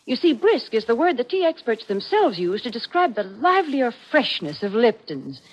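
A woman reads a vintage radio commercial with emphatic polish. Her voice is fairly high and swoops in a very lively way, and the old recording sounds muffled. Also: normal speed, husky. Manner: emphatic, polished sales delivery. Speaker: commercial announcer. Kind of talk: scripted 1940s radio advertisement.

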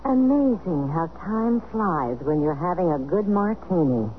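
A woman's voice: fairly low pitch, lively intonation, a little slow. An actress playing a flirtatious, wry, worldly American woman in a 1970s radio drama. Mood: taken aback, wry. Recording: narrow, phone-like old radio recording, faint noise.

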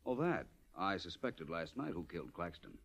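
A low, richly inflected male voice for a suave gentleman sleuth. His delivery is polished, wry and theatrical, in the manner of forties radio mystery. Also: big pitch swings, moderate pace, creaky, breathy edge, heavily acted. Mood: assured. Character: suave, urbane, self-assured gentleman detective. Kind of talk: 1940s American radio mystery acting.

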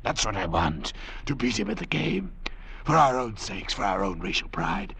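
A man playing an arrogant, intellectual doctor cast away at sea in old radio drama style, lecturing theatrically in a gravelly voice. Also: on the low side, wildly swooping pitch, normal speed, fairly bright tone, exaggerated voice. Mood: fervent. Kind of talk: 1940s radio drama acting. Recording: old radio recording with faint hiss.

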